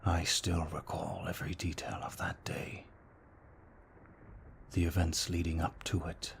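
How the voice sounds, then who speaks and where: A man playing a gentle, remorseful vampire in an ASMR roleplay, speaking slowly and formally in a soft, breathy near-whisper. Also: sits low, monotone, slight background noise. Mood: haunted, sorrowful. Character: brooding remorseful male vampire, gentle and protective. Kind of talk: ASMR roleplay, scripted monologue.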